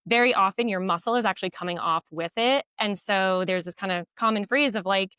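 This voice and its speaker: Woman with a low, clear, bright voice, explaining on a dry close mic. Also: fairly quick, dry recording. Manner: fluent, explanatory, with frequent fillers. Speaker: guest. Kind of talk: podcast interview.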